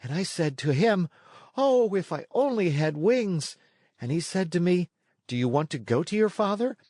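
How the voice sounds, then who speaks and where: A man's voice: high-pitched, very animated, normal speed, a little mellow, no echo, studio quality. A man voicing an eager, earnest and plucky wooden puppet boy in a storybook read-along. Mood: earnest, excited.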